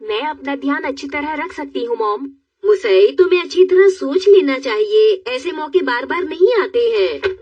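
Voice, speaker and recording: A woman's voice: high-pitched, expressive, normal speed, dry recording. A woman playing an earnest, exasperated adult in a Hindi family cartoon dub, speaking emphatically. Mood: concerned. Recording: dull, distant audio with background music.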